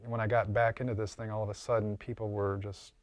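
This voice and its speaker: An older man explaining his craft in a casual interview, haltingly and often repeating words. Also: lowish voice, level tone, no room sound. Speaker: interviewed prop and mask maker.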